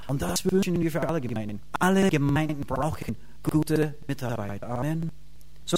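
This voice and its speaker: American man preaching in halting German. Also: a little high, slight background noise, poor audio. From United States. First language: English. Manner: halting, searching for words, many fillers and restarts; fervent bursts of praise. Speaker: pastor and Bible school teacher. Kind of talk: sermon.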